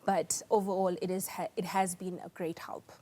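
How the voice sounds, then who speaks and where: A woman's voice: on the low side, level tone, mellow. Composed South African woman being interviewed. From South Africa, small town in Mpumalanga. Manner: composed, measured. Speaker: interview guest, air traffic controller. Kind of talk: television news interview answers.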